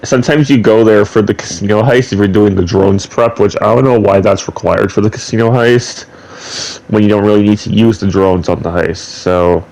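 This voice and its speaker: Young man, gaming host, slight cold, low-pitched, gravelly, rambling casual commentary. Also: steady tone, normal speed, darkish tone, rough recording. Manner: rambling, chatty, shares trivia asides.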